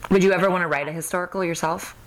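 A woman's voice: on the low side, steady tone, racing, rough recording. A woman hosting a podcast interview in a friendly, conversational style. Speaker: podcast host.

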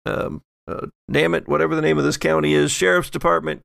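A man's voice: fairly high pitch, level tone, brisk, vocal fry, fairly bright tone, no room sound, studio quality. A man working as game master, narrating an actual-play horror tabletop game, setting scenes descriptively in broken phrases.

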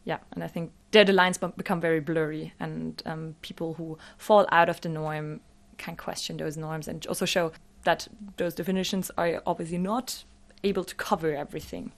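A woman with a slightly low voice, speaking as a native German speaker. She answers as an expert guest in an interview. Her manner is thoughtful and academic as she explains ideas. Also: steady tone, moderate pace.

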